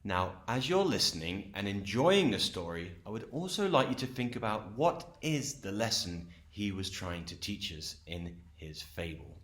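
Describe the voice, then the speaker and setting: A man reading a children's story aloud as host and storyteller, in an expressive, explanatory way. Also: fairly low pitch, moderate pace, hardly any pauses, husky.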